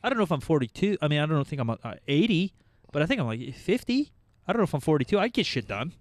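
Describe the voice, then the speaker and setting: A man's voice: on the high side, big pitch swings, fast, no room sound. A man co-hosting a podcast, chatting casually in a blunt, swearing manner. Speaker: podcast co-host. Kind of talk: casual chat.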